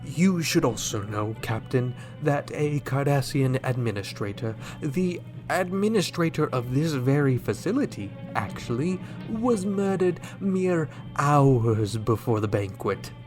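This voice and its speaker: A man playing a scheming, coolly menacing alien ambassador in a sci-fi radio drama, formal, deliberate and insinuating. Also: mid-range voice, expressive, music in the background, natural voice. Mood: smugly menacing. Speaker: voice actor.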